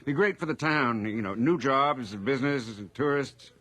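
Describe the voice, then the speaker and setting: An actor playing a genial, evasive small-town police chief in a radio drama, with a hearty, folksy manner. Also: male voice, mid-range voice, moderate pace, fairly bright tone, dry recording, lightly acted.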